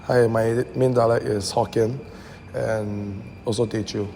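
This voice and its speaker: A man's voice: on the low side, flat delivery, unhurried, creaky, warm tone, a little hiss or hum. A young man from Singapore, a design student, answering interview questions in a laid-back, easygoing way. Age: young adult.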